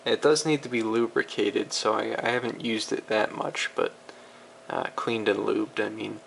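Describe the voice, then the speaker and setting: A man giving a casual, unscripted demonstration of vintage audio gear, with a gravelly voice and a rambling, halting way of explaining. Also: on the low side, fairly even intonation, fairly bright tone. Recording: amateur camera recording with background noise.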